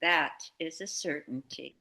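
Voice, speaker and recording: Woman, lower pitch, slow deliberate reader on a video call. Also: bright, forceful tone. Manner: slow, measured reading. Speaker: book study reader. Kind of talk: reading aloud from a book.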